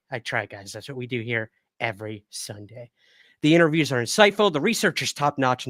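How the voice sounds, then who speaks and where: Guy hosting a live podcast, calm easy voice, upbeat chat w/ viewers, dry close studio mic. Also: medium pitch, no echo. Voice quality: calming voice. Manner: upbeat, thanks viewers and reads chat aloud. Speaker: livestream podcast host. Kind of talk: casual livestream chat with viewers. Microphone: new studio microphone.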